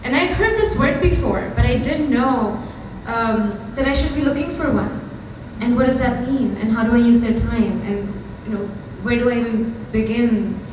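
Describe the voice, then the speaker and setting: A woman's voice: a little high, moderate pace, few pauses. Indian woman speaking in an echoey hall. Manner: candid, conversational, frequent ums. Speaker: startup founder giving a talk. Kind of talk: storytelling lightning talk. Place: event hall with audience. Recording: very echoey hall from far off, noisy.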